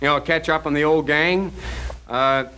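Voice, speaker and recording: An actor playing a nervous, stammering out-of-town husband in a 1950 radio drama, putting on false cheer. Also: male voice, fairly high pitch, fairly even intonation, normal speed, sharp tone, low-quality recording. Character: nervous out-of-town married man. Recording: old radio broadcast with faint noise.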